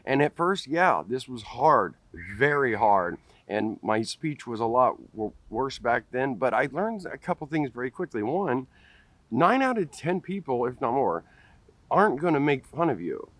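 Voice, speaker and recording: A man's voice: mid-range voice, sing-song, unhurried, a bit bright, dry recording. Man talking with a mild stutter. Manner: stutters with repeated sounds and restarts. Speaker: vlogger. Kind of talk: direct-to-camera talk. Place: outdoors in a park. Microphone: camera close up at face level.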